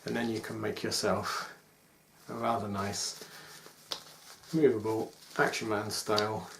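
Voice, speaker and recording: A man's voice: lowish voice, little pitch movement, measured pace, gravelly. A man in his forties chatting casually to camera as he presents collectible figures from a room at home. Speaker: toy collector presenting a video. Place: small domestic room indoors. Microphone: camera microphone at about arm's length. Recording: echoey room with faint noise.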